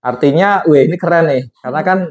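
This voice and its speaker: A man's voice: on the high side, quick pace, muffled recording. Chatty male interview host. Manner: chatty, prompting questions with frequent tags. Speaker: host and hypnosis teacher. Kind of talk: casual interview.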